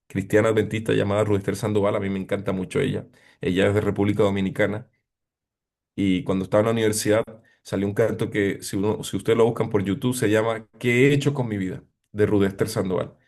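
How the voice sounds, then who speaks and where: A middle-aged man preaching a reflective sermon as a pastor, pausing often with fillers. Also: on the low side, a little mellow. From abroad, living in Chile 20 years. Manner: reflective, hesitant with frequent fillers.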